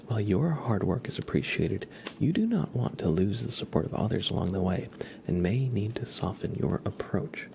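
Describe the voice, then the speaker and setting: A man hosting an ASMR livestream, speaking softly in a murmur that often slips into whispers. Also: fairly low pitch, very animated, moderate pace, creaky, slight background noise. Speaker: livestream host. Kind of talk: soft-spoken ASMR livestream.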